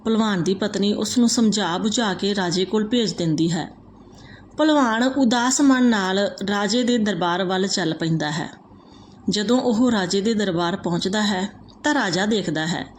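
A woman reading out a moral folk tale as a storyteller, in a steady, even manner. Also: mid-range voice, a little hiss or hum.

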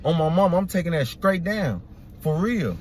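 A young man hosting a music reaction video from a parked car, talking excitedly in profane slang into a phone held at arm's length. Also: fairly high pitch, normal speed, a little hiss or hum. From Iraq. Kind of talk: casual reaction commentary. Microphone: phone camera at arm's length.

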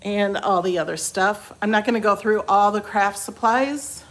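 A woman's voice: mid-range voice, normal speed, fairly bright tone. A woman chatting informally as she hosts a casual house tour, recorded on a handheld phone. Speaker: host giving a house tour. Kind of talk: casual walkthrough chat. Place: rooms of a small house, then porch and driveway. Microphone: handheld phone, operator behind camera. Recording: noisy handheld recording on the move.

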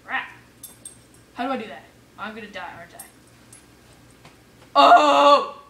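A bright, sharp-voiced woman narrates her own gameplay. She talks casually and excitably, exclaiming and muttering to herself. The sound is roomy, picked up some way from the mic. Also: a little high, a little slow, vocal fry. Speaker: gamer playing an adventure map for viewers. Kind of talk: casual let's play commentary. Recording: roomy echo, distant mic, faint noise.

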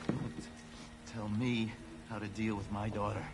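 A man's voice: on the low side, level tone, a little slow, natural voice. An actor playing a desperate, protective middle-aged father in a video game, raw and grieving. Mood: threatening, angry. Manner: raw, emotional, threatening. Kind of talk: dramatic video game acting. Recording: clear rainy ambient noise.

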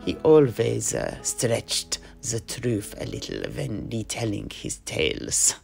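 A man's voice: on the low side, takes it slowly, creaky, faint music behind. A man narrating a family tale in an audio drama, rambling with frequent fillers. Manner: relaxed, rambling storytelling with many fillers. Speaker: storyteller guest.

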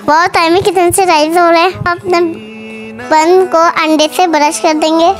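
A woman's voice: extremely high pitch, level tone, normal speed. A young-sounding female cooking host, narrating a recipe step by step in voice-over. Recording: music behind the voice. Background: background music.

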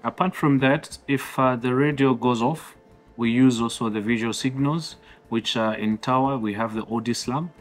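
A man around his thirties with a Zambian accent, explaining his controller work in an interview in measured, halting phrases. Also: mid-range voice. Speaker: air traffic controller, approach. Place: office-like radar control room, indoors. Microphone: lapel. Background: background music.

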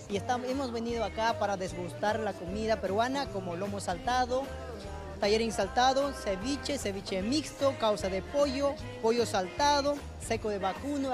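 Polite restaurant manager listing dishes. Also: male voice, high-pitched. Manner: polite, lists dishes steadily. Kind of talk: on-camera promo interview. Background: background music.

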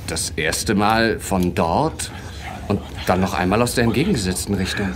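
Actor as low-voiced, courteous traveller. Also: male voice, moderate pace, background noise, close to an everyday voice. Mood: puzzled, inquiring. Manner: courteous, hesitant. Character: polite, curious male traveller in from the storm. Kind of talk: German audio drama dialogue.

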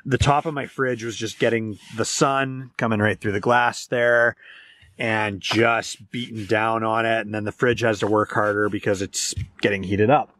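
A man's voice: on the low side, fairly even intonation, normal speed, a bit bright, no echo. Casual man vlogging, dry close sound. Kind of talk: casual outdoor vlog chat. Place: outdoors beside and inside a parked truck. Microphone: handheld camera at arm's length.

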